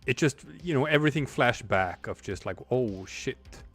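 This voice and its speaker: A man's voice: medium pitch, expressive, moderate pace, a bit bright, slightly rough, soft background music. A man in his forties, a streamer and comic artist, talking in a rambling, reflective way while he draws, with many restarts. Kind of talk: casual livestream chat while drawing.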